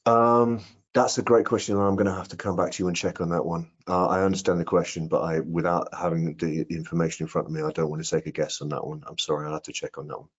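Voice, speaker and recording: A middle-aged man answering technical questions in an online webinar, explaining things in a measured, careful way. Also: low-pitched, flat delivery, breathy edge. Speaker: product presenter answering questions. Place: indoor room on a video call. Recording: muffled video-call audio.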